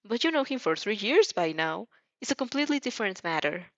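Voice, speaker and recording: A woman's voice: mid-range voice, sing-song, brisk, fairly bright tone, no room sound, muffled recording. A woman reading fan fiction expressively, tripping over words and reacting casually as she goes. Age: adult. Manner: stumbles over words and restarts, giggly. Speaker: fan fiction reader and dubber.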